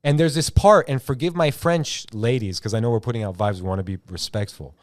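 Male host, mid-pitched, loose rambling storyteller with slang and swearing, dry room sound. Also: brisk, no echo. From Iran. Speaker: host of a YouTube show. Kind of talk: casual rambling movie chat.

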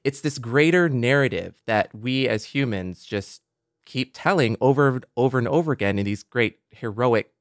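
A man's voice: medium pitch, expressive, moderate pace, dry recording, studio quality. A man co-hosting a podcast in a conversational style. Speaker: podcast co-host.

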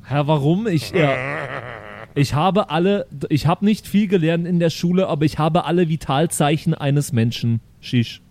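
A man plays a naive, chatty son from the future in an improvised radio play. His voice is on the high side and soft-edged, and he talks in childlike slang with odd verbal tics, earnest and slow on the uptake. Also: no echo, lightly acted.

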